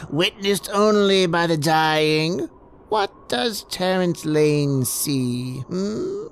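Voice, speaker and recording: A woman playing a sinister old hermit who narrates radio horror with teasing, drawn-out questions. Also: deep voice, fairly bright tone, slight background noise, exaggerated voice. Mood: gloating, sinister. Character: sinister old hermit storyteller, gloating over terror.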